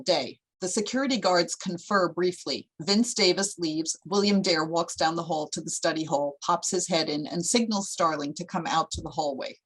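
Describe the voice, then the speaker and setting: A woman's voice: fairly low pitch, husky, poor audio. A woman reading out screenplay stage directions as scripted narration.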